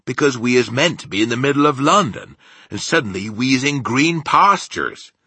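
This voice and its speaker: A man voicing a huge, kindly, simple storybook giant who speaks earnestly in a slow drawl with homely, muddled grammar. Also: medium pitch, animated, bright, forceful tone, breathy edge, no echo, voice pushed up. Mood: boggled. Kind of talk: storybook character voice.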